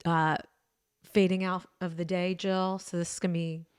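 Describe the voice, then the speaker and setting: A woman co-hosting a podcast in casual chat, joking and laughing often, her voice a bit deeper and tired in the evening. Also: level tone, normal speed, clean tone, no room sound, studio quality. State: tired late in the day. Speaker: podcast co-host.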